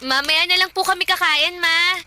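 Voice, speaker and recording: A woman's voice: extremely high pitch, steady tone, normal speed, sharp tone, a little hiss or hum, full character voice. A woman acting as a cheerful, polite young elder sister and daughter in a radio drama, earnest and upbeat.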